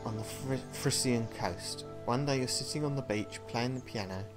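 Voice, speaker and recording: A man playing a video game, reading its text aloud and thinking out loud with casual asides. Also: mid-range voice, moderate pace, over music. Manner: thinking aloud between read passages. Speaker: video game player and commentator.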